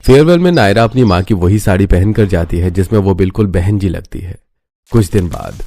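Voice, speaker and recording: A man's voice: low-pitched, fairly quick, warm tone. A man reading scripted narration as the narrator of a story.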